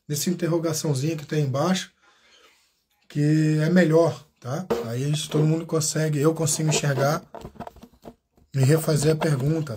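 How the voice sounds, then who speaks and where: A man's voice: fairly high pitch, warm tone, slight background noise. A Brazilian man hosting a live interview stream, chatting casually, thanking viewers by name and often restarting his sentences. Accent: Brazilian Portuguese. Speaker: channel host.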